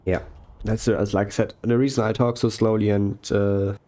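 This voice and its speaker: Young male gaming commentator, mellow tone, slow soft-spoken rambling delivery. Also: on the low side, flat delivery. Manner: rambling with fillers and self-corrections. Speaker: gaming YouTuber. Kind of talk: relaxed gameplay commentary.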